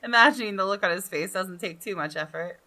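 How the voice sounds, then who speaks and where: A woman's voice: medium pitch, quick pace, bright, forceful tone, poor audio. A woman hosting a gaming video, reading visual novel narration expressively with casual commentary.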